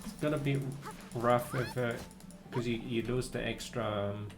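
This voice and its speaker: A man livestreaming a game, chatting casually about strategy in a hesitant, halting way as he thinks aloud. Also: mid-range voice, fairly even intonation, music in the background.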